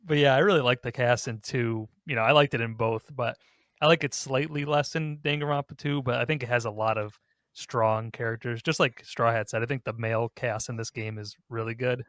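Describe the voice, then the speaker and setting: A man's voice: medium pitch, steady tone, a little fast, a bit bright, dry recording, studio quality. A man hosting a casual group discussion, talking in a rambling, self-correcting way. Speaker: podcast host.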